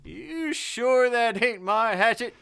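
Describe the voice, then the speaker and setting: A man's voice: extremely high pitch, lively intonation, slow pace, vocal fry, fairly bright tone, clear, resonant tone, exaggerated voice. A man playing a jovial, boastful, pestering neighbor in a hammy, blustery old-time radio comedy manner. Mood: sly, chummy.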